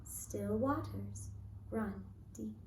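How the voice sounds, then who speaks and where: A woman telling a scripted fairy tale for a puppet show in a gentle, deliberate, singsong manner. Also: medium pitch. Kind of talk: scripted fairy-tale narration with lullaby singing. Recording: echoey room with faint noise.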